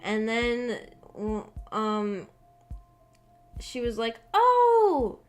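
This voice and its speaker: High-voiced woman rambling on a livestream. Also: very animated, very slow. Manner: rambling, hesitant storytelling with frequent "like". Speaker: streamer. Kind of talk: casual livestream chat, reading and answering viewer comments. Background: background music.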